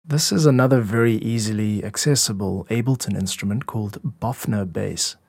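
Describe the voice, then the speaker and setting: A man's voice: fairly low pitch, moderate pace, vocal fry, a little mellow, slightly rough, no echo, studio quality. A man giving an informal, instructive tutorial as a music producer and podcast host. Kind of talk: explanatory tutorial narration.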